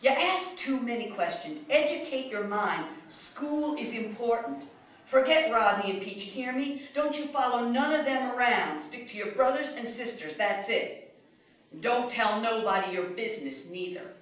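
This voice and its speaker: A woman's voice: a little high, expressive, moderate pace, close to an everyday voice. A woman playing a blunt immigrant mother, echoey. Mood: firm, scolding. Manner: plainspoken, colloquial storytelling with curt commands. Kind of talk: radio drama monologue. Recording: echoey room, distant microphone.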